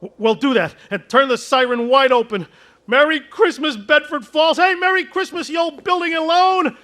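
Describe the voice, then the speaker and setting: An actor playing an excited, stammering family man in a live radio play before an audience. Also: very high-pitched, normal speed. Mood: joyful. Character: overjoyed family man, exuberant father.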